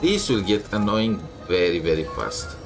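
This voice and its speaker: A man with a fairly deep voice gives casual commentary while playing a game. He drops wry, skeptical asides as music plays clearly behind him. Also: very animated, moderate pace.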